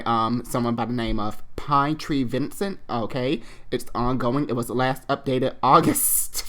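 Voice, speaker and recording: A man with a mid-pitched voice hosts in a casual, slangy style. He reads a story aloud dramatically and mixes in irreverent, giggly commentary of his own. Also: a bit bright. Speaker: online video host and fan fiction reader.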